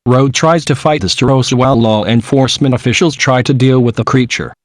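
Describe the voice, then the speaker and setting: A man acting as a male morning news anchor, reading scripted headlines at a medium pitch. The sound is close and dry, and the delivery is businesslike. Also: fairly quick, no echo, close to an everyday voice.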